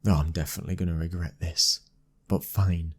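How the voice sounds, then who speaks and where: Guy acting as british mafia boss's son, really deep n husky, even intimate delivery, firm and protective, asmr roleplay. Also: a little fast. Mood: reluctant, firm. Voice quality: low, husky. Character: commanding, protective young male mafia boss's son, loving husband.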